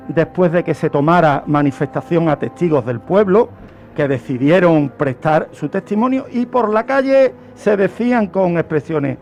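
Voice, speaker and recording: A man's voice: high voice, darkish tone. A man with an accent from Spain explains a crime story on the radio in a didactic way, posing rhetorical questions. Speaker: true-crime contributor on a radio programme. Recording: music playing under the voice. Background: music.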